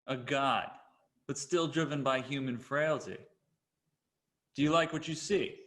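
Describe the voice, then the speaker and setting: A man's voice: medium pitch, measured pace, fairly bright tone, lightly acted. A man acting a commanding, thoughtful and defiant starship captain in a screenplay table read. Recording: online video call.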